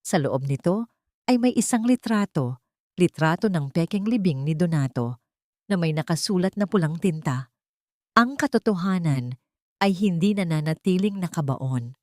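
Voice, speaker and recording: Filipino woman narrator, low-pitched and close. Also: lively intonation, unhurried, pauses often, no room sound. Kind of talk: dramatic scripted story narration.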